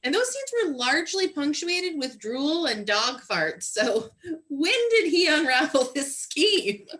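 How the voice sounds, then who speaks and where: A woman's voice: sits high, big pitch swings, slow pace, fairly bright tone. A woman giving sassy, sardonic comic commentary in a radio play. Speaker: comedy troupe performer. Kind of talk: sassy comedic commentary on a film.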